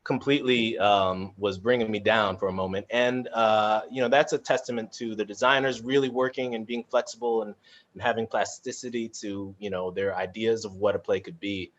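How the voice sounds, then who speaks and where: A man's voice: fairly low pitch, steady tone, moderate pace. A man moderating a casual live panel chat from home, speaking informally and hesitantly over a video call. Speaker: host and moderator of a cast chat. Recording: muffled video-call audio.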